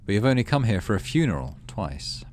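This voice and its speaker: A man hosting a gaming video, reading story text aloud and adding low-key, muttered asides in casual commentary. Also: big pitch swings, brisk, darkish tone, slight background noise. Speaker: gaming channel host.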